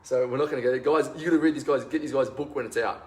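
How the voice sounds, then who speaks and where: A man's voice: medium pitch, steady tone, fast. Male interviewer, casual chat, short affirming replies, echoey room. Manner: affirming, brief back-channel replies.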